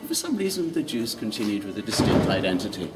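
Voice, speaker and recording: A man guiding a walking tour outdoors, lively and quizzing the group with questions. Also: on the low side, quick pace, loud music behind the voice. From Ireland. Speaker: tour guide. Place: outdoors in old city streets. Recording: distant mic, street noise.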